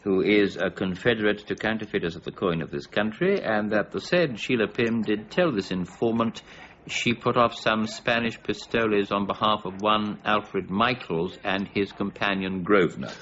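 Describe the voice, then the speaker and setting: An actor playing a learned, middle-aged official in a period radio drama, formal, precise and a little hesitant. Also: male voice, fairly low pitch, fairly even intonation, normal speed, a bit bright, lo-fi sound, a little hiss or hum, close to an everyday voice. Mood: businesslike.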